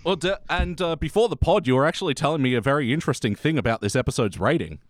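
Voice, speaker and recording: Man, podcast host, very animated intonation, jokey casual chat, dry close recording. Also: fairly high pitch, fast, no echo. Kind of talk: casual comedic review chat.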